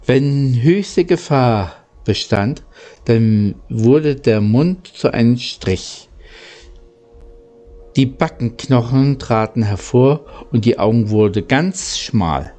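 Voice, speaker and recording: A man narrating a children's story from a script, in a halting way that stumbles over words. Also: mid-range voice, lively intonation, mellow. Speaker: audiobook narrator.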